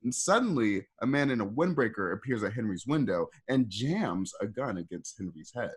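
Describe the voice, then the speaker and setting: A man's voice: on the low side, lively intonation, normal speed, slightly rough. A man narrating screenplay action for a radio play in an informal, hesitant way, with conversational asides. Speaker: narrator reading stage directions.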